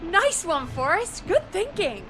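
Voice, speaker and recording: A woman's voice: very high-pitched, big pitch swings, takes it slowly, noisy background, heavily acted. An actress playing a supportive, concerned woman who produces a late-night radio show in a video game. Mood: concerned, encouraging.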